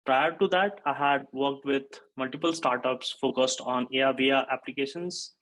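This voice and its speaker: A man's voice: mid-range voice, normal speed, a bit bright, low-quality recording. A man in his thirties from Nepal, giving a technical conference talk from a webcam setup, explaining steadily with frequent "uh" fillers. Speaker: conference speaker, software engineer. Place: indoor home or office room. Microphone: webcam-style video call framing.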